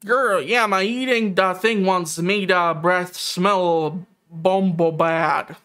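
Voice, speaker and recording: A woman's voice: fairly low pitch, takes it slowly, a bit bright. Woman reading out a food-loving frog. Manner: reads the frog's lines in a plain voice. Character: gruff old frog gourmand, food-obsessed. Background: game music.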